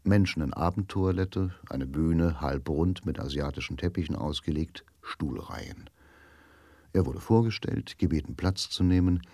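A man narrating a radio drama from a literary script in measured, sober phrases. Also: sits low, mellow, dry recording, clean studio sound.

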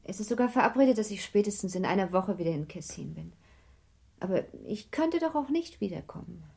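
An actress playing a melancholy, gentle young married lady of the nineteenth century in a literary radio drama, speaking in a wistful, genteel way. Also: mid-range voice, animated, brisk, vocal fry, husky. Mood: wistful farewell. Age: young adult. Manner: wistful, genteel, softly emphatic.

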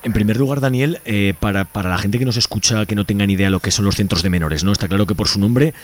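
Male radio host, Spain accent, low-pitched voice, informal conversational interviewing with frequent tag questions. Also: fast.

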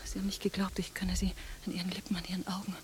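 An actress playing an intense, restless woman in a radio drama, speaking hushed and breathily. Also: lowish voice, monotone, racing, slight background noise, lightly acted. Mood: breathless resolve. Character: intense, restless young woman scheming with lover. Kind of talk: German radio drama acting.

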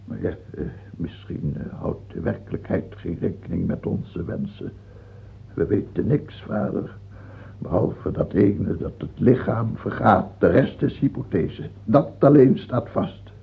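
An actor playing a dying, tyrannical king of France in a radio drama, fearful and halting, then imperious. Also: on the low side, vocal fry, husky, full character voice. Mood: agitated, doubting. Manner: halting and stammering, turning imperious. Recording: muffled, tinny old radio-play recording.

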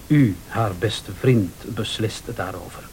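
An actor playing a cool, defiant scheming husband in a radio thriller, curt and sardonic. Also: male voice, fairly low pitch, slow pace, darkish tone, slight background noise. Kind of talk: Dutch-language radio thriller acting.

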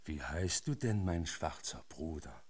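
An actor in a German radio drama playing a menacing, falsely kindly marshal who lectures sardonically, with a husky voice. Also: male voice, very deep voice, lively intonation, measured pace, exaggerated voice. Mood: mock-kind menace. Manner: mock-paternal, sardonic lecturing with sudden barked commands.

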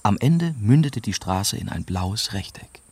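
A man's voice: fairly low pitch, animated, measured pace, darkish tone, slightly rough, dry recording, full character voice. A man playing a young journalist who narrates his own adventure in a scripted radio drama. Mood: tense, factual. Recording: music behind the voice.

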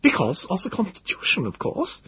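A man voicing a thin, fragile, eccentric male professor in his thirties with a light German accent, excitable and rambling. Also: sits high, normal speed, breathy edge, pitched above the performer's own voice, heavily acted. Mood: insistent. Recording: dull lo-fi recording.

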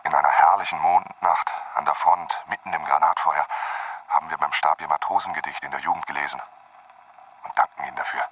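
An actor playing an army doctor reading out a letter from the front in a radio drama, in a husky voice. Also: male voice, very deep voice, steady tone, fairly quick, a bit bright, dry recording, muffled recording, exaggerated voice. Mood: solemn, grateful. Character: male army battalion doctor writing from the front.

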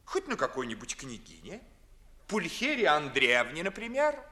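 An excitable, high-voiced, mocking young gentleman. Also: normal speed, exaggerated voice. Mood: mocking, animated. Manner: declamatory, eloquent, mocking. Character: witty, ardent, sharp-tongued young gentleman in love. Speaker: stage actor. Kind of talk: 1950s Russian theatrical radio acting in verse. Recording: early 1950s studio radio recording.

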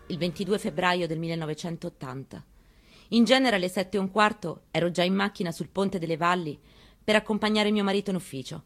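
A woman giving a dramatic first-person reading of a memoir, with an intimate storytelling delivery. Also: fairly low pitch. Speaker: reader.

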